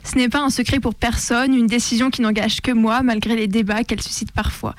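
High-voiced French woman reading radio commentary. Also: steady tone, brisk, no echo, soft background music. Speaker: radio show presenter. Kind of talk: scripted opinion commentary. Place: radio studio.

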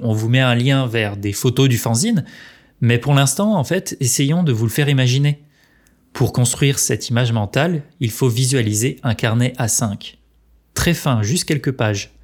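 A man co-hosting a podcast, reading a prepared script in a conversational tone. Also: mid-range voice. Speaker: podcast co-host. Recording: music playing behind the voice. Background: music.

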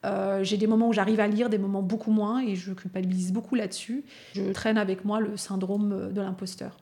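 Mid-pitched woman telling personal stories. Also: level tone, fairly quick, dark tone. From Lyon area, France. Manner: hesitant, frequent fillers, storytelling. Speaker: podcast guest and speaker. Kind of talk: casual reflective conversation.

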